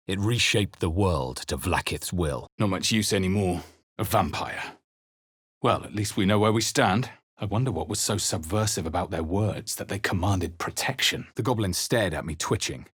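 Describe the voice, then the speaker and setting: A brooding adventurer hero for a fantasy role-playing game. The voice sits very deep with a distinctly husky grain. Delivery is grave, measured and dramatic, captured dry and close. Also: male voice, fairly bright tone, no room sound. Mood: wary, dry. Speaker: video game voice actor. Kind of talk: scripted fantasy game narration, character lines and spell incantations.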